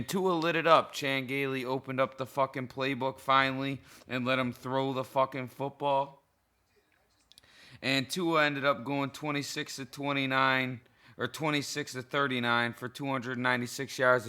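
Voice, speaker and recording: A man in a hoarse, cracking voice, a little drunk, giving a profane, heated, rambling reaction to a football game. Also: mid-range voice, level tone, normal speed, sharp tone. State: hoarse, intoxicated. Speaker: sports fan vlogger. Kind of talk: casual game reaction rant.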